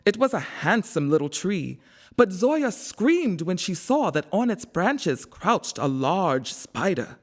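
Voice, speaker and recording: A woman narrating a scripted children's storybook in an expressive, measured storytelling manner. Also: on the low side.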